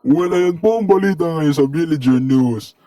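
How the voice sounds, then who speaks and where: Cartoon villager newsreader, acted by a man: dark, dry-sounding, Filipino accent, formal and dramatic, music behind. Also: a little high, normal speed, no echo, natural voice. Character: villager news anchor, self-important, formal. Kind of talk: mock news broadcast.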